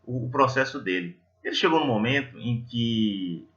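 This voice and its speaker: A man's voice: mid-range voice, lively intonation, moderate pace, fairly bright tone, lo-fi sound. A Brazilian man giving a religious talk in a conversational, didactic way, telling stories and putting reflective questions to his listeners. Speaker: lay preacher at a Spiritist centre.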